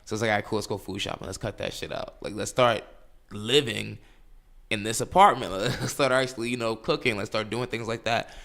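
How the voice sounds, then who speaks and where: A man in his late twenties co-hosting a casual podcast chat, animated and swearing freely as he acts out his own thoughts. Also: lowish voice, brisk, creaky. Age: late 20s. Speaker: podcast co-host. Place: New York City.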